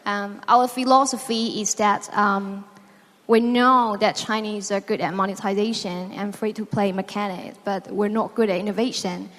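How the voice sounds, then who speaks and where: A woman talks about her company on a conference panel in hesitant non-native English. Her pitch sits in the middle. Long hall reverb surrounds her voice. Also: fairly even intonation, normal speed, a bit bright. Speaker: panelist, business development.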